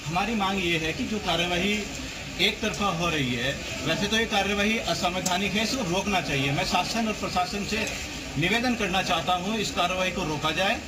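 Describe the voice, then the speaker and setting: A man with a high voice makes a public statement to the press as a protester. The field recording has clear ambient noise around him. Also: normal speed. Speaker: community protester speaking to press.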